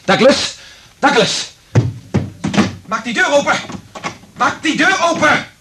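An actor playing a conscientious, commanding lab scientist with urgent delivery in a radio drama. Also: male voice, very high-pitched, animated, slow, background noise, heavily acted. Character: urgent, conscientious male laboratory scientist taking charge.

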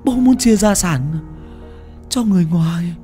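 A man voicing an unhinged, greedy young son in an audio story, shrill and manic at times, then low and snarling. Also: unhurried, dark tone, faint music behind.

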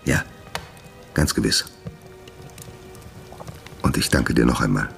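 An actor playing a troubled, secretive gentleman scientist in a German radio play, urbane, condescending and evasive. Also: a bass voice, takes it slowly, vocal fry, soft background music, full character voice. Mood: earnest, subdued.

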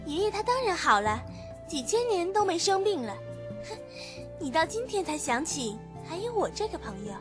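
A woman's voice: very high voice, sing-song, moderate pace. A woman playing a bold, girlish dragon princess, pert and teasing, in a Chinese fantasy radio drama. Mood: teasing pout. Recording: music under the amateur drama voice. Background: background music.

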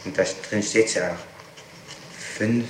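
A man's voice: lowish voice, steady tone, slow. A man questioning someone in a recorded interrogation, probing and pressing for dates and details, on an old tape. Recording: echoey, noisy 1960s tape recording.

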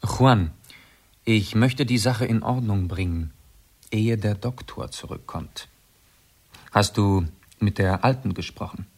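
An actor playing a scheming, commanding master in a radio drama, with a husky voice and urgent manner. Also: male voice, lowish voice, slow pace, pauses often, darkish tone.

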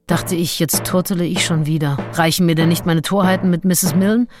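A woman's voice: on the low side, fairly even intonation, brisk, natural voice. An actress playing a passionate, self-questioning Englishwoman of the early 1800s who confides her diary in an intimate, introspective way in a radio play. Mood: flirtatious, self-doubting. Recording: music behind the voice. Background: music with drums.

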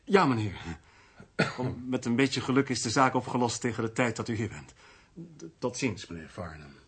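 An actor playing a fat, domineering, irritable security official in a radio drama, curt and impatient. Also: male voice, medium pitch, normal speed, a bit bright, slight background noise, close to an everyday voice. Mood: deferential.

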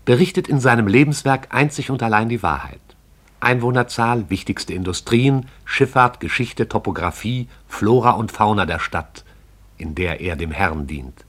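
A man narrating a radio play in a measured, poetic storytelling manner. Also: mid-range voice, a bit bright.

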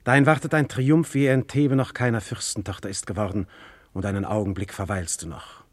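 A man's voice: on the low side, fairly even intonation, a little fast, close to an everyday voice. A man acting a majestic, commanding god in mortal guise in a classical German verse radio drama, speaking in a lofty, declamatory way. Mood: exalting.

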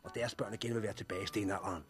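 An actor playing a blunt, forceful, foul-mouthed leader of disaster survivors in a 1960s Danish radio drama, with a gruff, persuasive way of speaking. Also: male voice, lowish voice, fairly even intonation, faint music behind, natural voice. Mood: urgent, persuasive.

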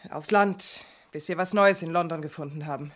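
A woman's voice: deep voice, normal speed. An actress playing a shaken, fearful, respectable woman in a German radio crime play, speaking in a hesitant, weary way. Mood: anxious, shaken.

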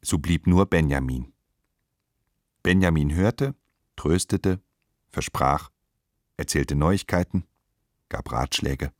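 A man's voice: extremely low pitch, takes it slowly, pauses often, no echo, studio quality. A man narrating a literary radio play from a prepared script.

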